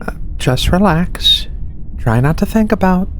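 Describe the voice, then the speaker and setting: A woman voicing a giant furry alien researcher in a soft-spoken ASMR roleplay, reassuring, halting and a little flustered. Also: lowish voice, expressive. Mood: soothing. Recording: clear background noise, ship ambience.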